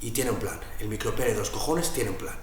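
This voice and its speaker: A man's voice: lowish voice, expressive, a little fast, slight background noise, natural voice. A man playing a blunt, sweary, gossipy student who narrates a mockumentary to camera, with an accent from Spain. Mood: scornful. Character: exasperated, foul-mouthed, gossipy male student narrator. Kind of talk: mockumentary dialogue and asides to camera. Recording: roomy sound with music behind.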